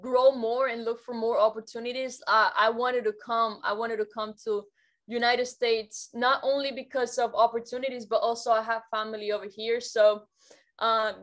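A woman's voice: a little high, a bit bright. A young woman from Cuba, speaking as a guest educator in an upbeat, casual way on a video call from her bedroom, often repeating and restarting words. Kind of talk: casual motivational talk. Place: bedroom at home.

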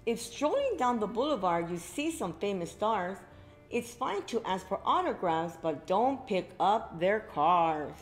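A middle-aged woman reads a picture book to young children. Her pace is slow and her pitch swings in lively, expressive arcs, with exclaimed asides to the listeners. Faint music can be heard. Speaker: librarian reading at children's story time. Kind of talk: read-aloud storytelling for young children. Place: indoor library room with bookshelves.

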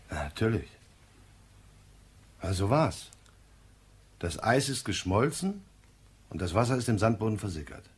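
A world-weary police lieutenant in a radio crime drama. His voice is low and husky, gruff in manner, with wide swings in pitch. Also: full character voice. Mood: thoughtful.

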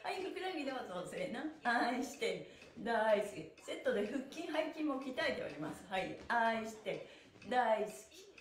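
A woman's voice: a little high, big pitch swings, normal speed. A middle-aged woman teaching a stretching class in a cheerful, encouraging, sing-song chant while she exercises along. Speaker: stretching instructor and pantomime teacher. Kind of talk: live exercise class with counted chanting cues. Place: small indoor room, seated on exercise mat. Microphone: camera a few metres away. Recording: echoey room, distant mic.

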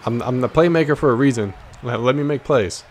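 A man's voice: mid-range voice, fairly quick, a little mellow. An American man giving casual, playful trash-talking commentary as he plays a video game, jumping between exclamations and muttered asides. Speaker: gaming video host. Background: basketball video game sounds.

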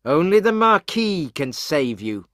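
A man voicing a fierce, vengeful revolutionary from an angry mob, with loud, forceful cries, in an audiobook. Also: a little high, big pitch swings, takes it slowly, voice pushed up, exaggerated voice. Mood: furious.

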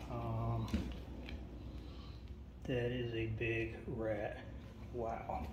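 A man talks in a fairly even tone over clear background noise and clicks. He narrates off the cuff, like a casual exploration vlogger, hesitating and musing aloud as he walks through a small empty house with a handheld phone. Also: medium pitch, very slow. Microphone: handheld phone behind the camera. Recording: noisy handheld recording with clicks.

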